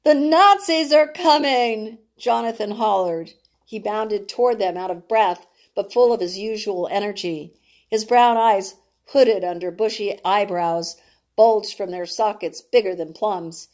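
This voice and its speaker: Slow-reading woman, dramatic storyteller. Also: medium pitch, mellow. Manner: deliberate, dramatic, with stumbles over words. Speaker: author reading her own novel. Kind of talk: dramatic audiobook narration.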